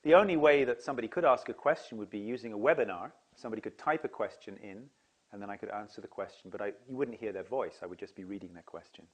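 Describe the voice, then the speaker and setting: A man's voice: lowish voice, quick pace. Earnest man explaining to camera. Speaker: seminar leader.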